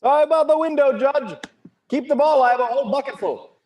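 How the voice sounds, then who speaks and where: A man playing a passionate, theatrical, excitable family patriarch with a dramatic, florid delivery in a table read. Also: extremely high pitch, fast talker. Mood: exuberant.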